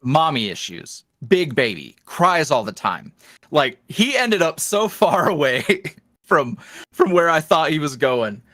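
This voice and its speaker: A man talking casually as a podcast guest, in a rambling, animated, self-deprecating way. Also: on the high side, a little slow, no room sound, studio quality. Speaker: podcast guest, ASMR roleplay voice creator. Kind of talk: casual interview chat.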